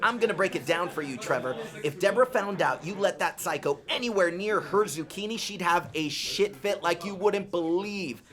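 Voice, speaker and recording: An actor playing a crude, cocky party-going buddy in an audio drama, brash, slangy and emphatic. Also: male voice, high voice, moderate pace, few pauses, fairly bright tone. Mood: brash, insistent.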